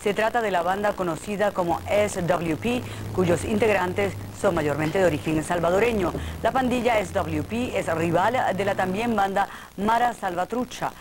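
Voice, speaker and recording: A woman's voice: medium pitch, a little fast, slight background noise, low-quality recording. A woman anchoring a television newscast, reading scripted news on an old broadcast tape.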